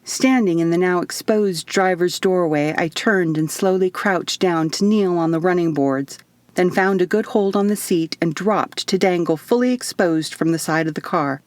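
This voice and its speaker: Lower-pitched woman narrating an audiobook. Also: moderate pace, dry recording. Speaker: author reading own audiobook. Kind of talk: first-person dramatic audiobook narration.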